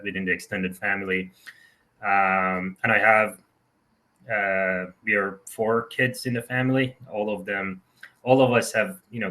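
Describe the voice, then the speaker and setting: Iranian guy in his 30s, lowish sharp voice, chatting as an interview guest. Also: poor audio. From southern Iran. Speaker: interview guest, tech founder. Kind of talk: conversational personal account.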